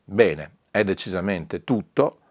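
A low-pitched man delivers an informal promotional announcement. He is emphatic and deliberate, often repeating a key word to underline it. The sound is dry and close, with very little room. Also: animated, no room sound. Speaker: record label owner presenting news.

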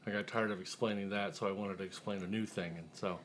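A man's voice: fairly low pitch, steady tone, fast, a bit bright, a little hiss or hum. A man from the United States chatting as an author guest, explaining things in a conversational way. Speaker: author guest, humor writer and ghostwriter. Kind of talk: casual interview chat.

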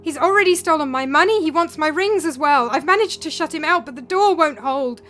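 A woman voicing a panicked, injured man whose strained, rasping voice shouts frantic pleas for rescue. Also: sits high, expressive, brisk, soft background music, voice pushed up. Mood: desperate.

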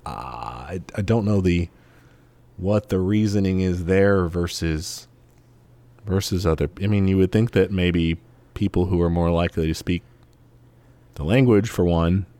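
A man's voice: low-pitched, a little slow, pauses often, warm tone, no room sound. A man co-hosting a podcast, talking casually and wryly about politics with frequent fillers and restarts. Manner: wry, rambling, frequent fillers. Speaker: podcast co-host.

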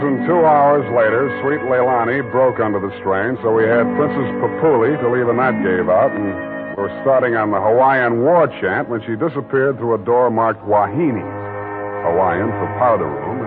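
A man's voice: medium pitch, normal speed, over music, lightly acted. A man playing a wisecracking hard-boiled detective. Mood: narrating. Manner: dry, sardonic. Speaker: narrator and lead. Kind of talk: 1940s radio acting. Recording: tinny old radio broadcast.

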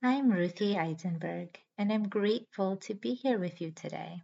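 A woman guiding a yoga nidra relaxation as host, with a soothing, measured manner and deliberate pauses. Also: mid-range voice, vocal fry, warm tone. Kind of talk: guided relaxation meditation. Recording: slightly dull audio with background music. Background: music.

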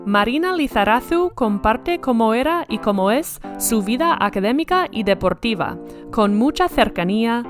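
Bilingual woman podcast host, higher voice. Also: lively intonation, moderate pace, over music. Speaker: podcast host, former basketball player. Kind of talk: scripted intro then casual interview.

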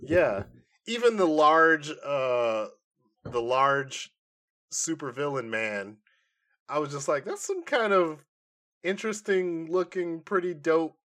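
A man's voice: fairly high pitch, lively intonation, slow, a bit bright. Casual, slangy man co-hosting a review podcast. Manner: conversational, slangy, opinionated. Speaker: podcast co-host reviewing nerd culture.

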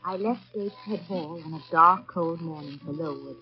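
An actress playing a grave, reserved young woman, narrating her life in measured 1930s radio drama style. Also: low-pitched. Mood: measured, reflective. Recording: muffled vintage 1930s radio recording. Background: music.

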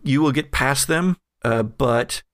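A man narrating a tabletop game as game master, relaxed and chuckling, with many fillers. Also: medium pitch, slow pace, no echo, studio quality.

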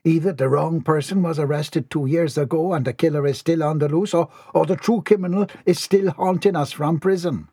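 A man's voice: fairly high pitch, steady tone, fairly quick, few pauses, mellow, husky, dry recording, pitched above the performer's own voice. A man voicing an anxious but authoritative woman housekeeper in a story. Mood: troubled. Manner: commanding, grave.

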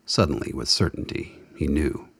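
A man giving dramatic scripted narration for a radio play in a husky voice. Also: rumbling low, lively intonation, moderate pace, fairly bright tone, dry recording.

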